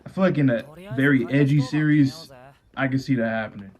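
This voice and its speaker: A man's voice: mid-range voice, a little fast, a little mellow. A man giving slangy, laid-back commentary in a casual reaction chat. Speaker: anime reaction host.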